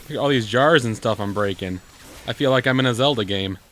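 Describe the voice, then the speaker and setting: Male let's play host: average pitch, wry, sarcastic, casual commentary, noisy background. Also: fast, fairly bright tone.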